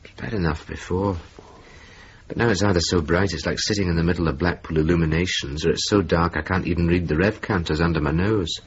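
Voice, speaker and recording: An actor playing a mild, earnest young RAF officer, chatty and a little fretful, in a radio drama. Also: male voice, deep voice, fairly quick, husky, exaggerated voice. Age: young adult. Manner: chatty, questioning, mildly complaining. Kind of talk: radio drama acting, conversational dialogue. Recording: muffled, noisy old radio broadcast recording.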